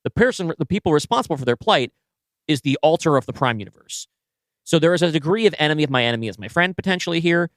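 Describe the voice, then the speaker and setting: A man co-hosting a podcast, brainstorming story ideas in a casual, halting conversation as he thinks aloud. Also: fairly high pitch, lively intonation, fairly bright tone, no echo. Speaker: podcast co-host and story writer.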